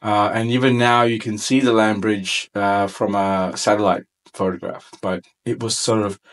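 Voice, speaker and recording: Forties male guest from Sri Lanka; reflective, halting delivery on a studio boom mic. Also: level tone. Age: 40s. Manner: reflective, frequent ums and pauses. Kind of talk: podcast interview. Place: studio with podcast set. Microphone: boom mic on arm.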